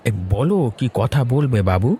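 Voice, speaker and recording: A man's voice: mid-range voice, lively intonation, normal speed, warm tone, soft background music, a faint crowd, lightly acted. A man voicing a kindly, humble old village food seller in a cartoon story, speaking with grandfatherly, folksy affection. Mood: welcoming.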